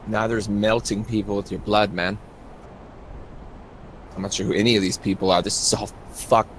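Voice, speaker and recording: A skeptical, sardonic young woman survivor, acted out by a man in his own lowish voice in a tabletop roleplay. The delivery is casual and blunt, with faint noise on the recording. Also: level tone, normal speed, lightly acted, low-quality recording. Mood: bewildered, blunt.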